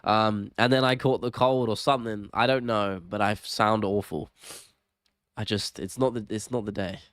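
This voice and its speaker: A young man hosting a casual live stream, sick with a cold so he sounds nasal and gravelly, chatting and apologizing as he reads out viewer comments. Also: on the low side, normal speed, dry recording, studio quality. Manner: apologetic, relaxed, chatty, thinking out loud. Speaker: MMA live-stream host.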